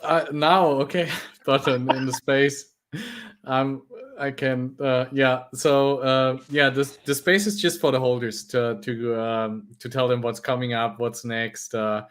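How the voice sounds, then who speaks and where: A man co-hosting a casual live stream, with an ordinary mid-pitched voice. He talks hesitantly and fills his pauses with uhs and ums. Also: fairly even intonation, a bit bright. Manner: hesitant, many fillers, giggly. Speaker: stream co-host.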